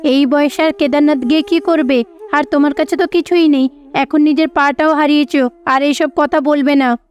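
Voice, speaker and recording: A woman's voice: high-pitched, fairly even intonation, moderate pace, a little mellow, close to an everyday voice. A woman voicing an impatient older village wife in a cartoon, nagging and blunt. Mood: scolding. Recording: faint music behind.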